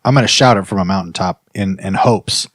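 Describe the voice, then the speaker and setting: A man's voice: low-pitched, a little fast, a bit bright, no echo, studio quality. A man hosting a comedy podcast, riffing casually in a mock-earnest, rambling way with frequent check-in phrases. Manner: mock-earnest, rambling, peppers talk with "you know what I mean". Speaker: podcast host.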